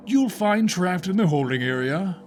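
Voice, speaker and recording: Grave, authoritative police chief. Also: male voice, high voice, lively intonation, faint music behind. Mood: serious, businesslike. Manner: grave, deliberate briefing delivery. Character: authoritative male police chief, serious and measured. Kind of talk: audio drama acting.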